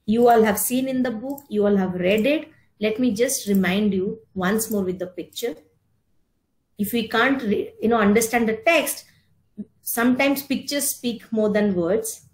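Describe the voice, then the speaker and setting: A middle-aged woman with an Indian accent giving an instructive talk in short, deliberate, emphatic phrases, explaining terms as she goes. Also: on the high side. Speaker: speaker giving a yoga philosophy talk. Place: indoor room, online meeting. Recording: roomy, echoing virtual meeting audio.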